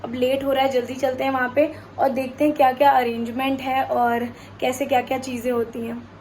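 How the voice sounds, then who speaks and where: A woman's voice: high-pitched, flat delivery, brisk, a little mellow, slight background noise. A young woman vlogger opening her video in a chatty way, mixing Hindi and English. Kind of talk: vlog intro to camera.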